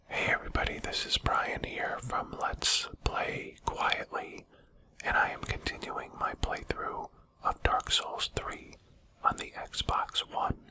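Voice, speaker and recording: Whispering man doing ASMR game commentary. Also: poor audio. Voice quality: breathy unvoiced whisper. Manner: quiet, unhurried, explanatory. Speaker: host of a gaming channel.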